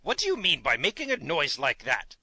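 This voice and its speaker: A woman voicing an excitable, indignant little gnome king in a theatrical storybook reading. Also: mid-range voice, moderate pace, no room sound, full character voice. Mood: startled anger.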